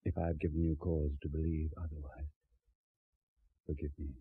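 An actor playing a grave, gentle, loyal medieval steward in a 1970s radio drama, with a very deep, breathy voice. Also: male voice, little pitch movement, moderate pace, dry recording, narrow, tinny audio, exaggerated voice. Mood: tender, solemn.

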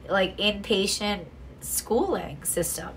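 A woman's voice: mid-range voice, takes it slowly, gravelly, fairly bright tone, slight background noise, low-quality recording. A young woman giving casual, blunt true crime commentary from a small home room, swearing freely and breaking into sarcastic asides. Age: young adult. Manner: blunt and profane, sarcastic asides, frequent restarts.

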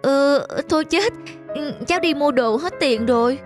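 A woman voicing an earnest, polite young man in an animated moral story. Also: sits high, moderate pace, lightly acted. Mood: sheepish. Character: earnest young man, spendthrift son learning independence. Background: background music.

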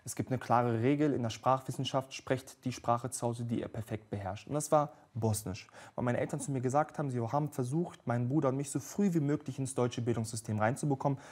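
A young man on a TV studio sofa, recounting his path with quick, eager energy. His voice is slightly husky and sits at a middle pitch. He speaks into a clip-on mic in a calm studio set. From Germany. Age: young adult. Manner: fast, eager storytelling with animated hand gestures. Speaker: politician guest. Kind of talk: conversational TV interview. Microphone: lapel.

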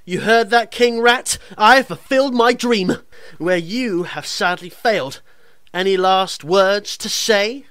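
A woman's voice: mid-range voice, unhurried, a bit bright, no room sound, natural voice. Woman playing a plucky young pantomime hero, dry sound. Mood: triumphant. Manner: declamatory, earnest stage delivery. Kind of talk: pantomime radio play acting.

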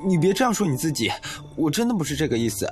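Actor as young college man, radio drama: indignant, flustered, very animated delivery, music behind. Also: a little high, fast talker. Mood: defensive. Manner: flustered, protesting. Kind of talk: Chinese audio drama acting.

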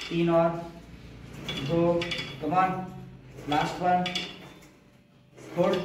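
An Indian man in his twenties coaching a workout demonstration, encouraging, with short repeated commands. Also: on the high side. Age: 20s. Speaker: fitness trainer and presenter. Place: indoor gym. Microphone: camera a few metres away. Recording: echoey room, distant mic, faint noise.

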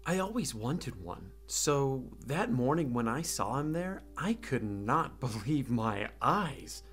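A man reading aloud as a gentle young male dog who tells about his boy, in a soft, calm storybook voice. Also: mid-range voice, takes it slowly, soft background music, heavily acted. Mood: tender. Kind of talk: children's book read-aloud.

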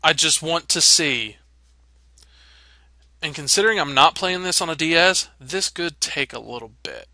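A man's voice: on the high side, expressive, normal speed, long pauses, bright, forceful tone, no room sound, clean studio sound. A man hosting a let's play, giving casual, sweary gaming commentary. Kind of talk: casual gaming commentary, reading dialogue aloud.

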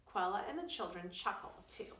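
A woman's voice: mid-range voice, expressive, moderate pace, fairly bright tone. A middle-aged woman, a preschool teacher, reading a children's story aloud and explaining simply for young listeners. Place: small wood-panelled room indoors. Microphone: camera a few feet away. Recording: roomy, distant camera microphone.

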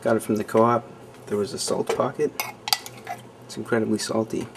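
Young man, low gravelly voice, loose rambling home-kitchen host, noisy camera audio. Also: level tone, moderate pace. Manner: rambling and offhand, with many fillers. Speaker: home cooking show host. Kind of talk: casual home cooking demo. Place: small home kitchen at night. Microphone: handheld camera mic, close.

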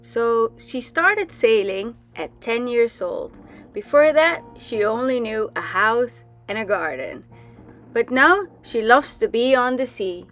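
A woman's voice: fairly high pitch, expressive, takes it slowly, a bit bright, faint music behind. Chatty young woman narrating a vlog. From Netherlands. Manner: chatty, with many ums and restarts. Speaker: sailing vlogger. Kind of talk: casual voice-over narration.

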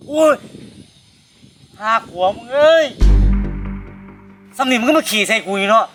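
A man's voice: very high voice, animated, unhurried, music in the background. A man with an Isan accent playing an exasperated young villager with curt retorts.

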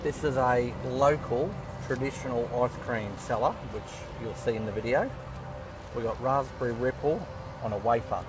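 A man's voice: medium pitch, moderate pace. Man chatting on a noisy street. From Australia. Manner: repeats and restarts words, teasing talk about good value. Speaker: travel vlogger. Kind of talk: casual walking-tour chat. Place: outdoors on a busy city street. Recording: street traffic noise.

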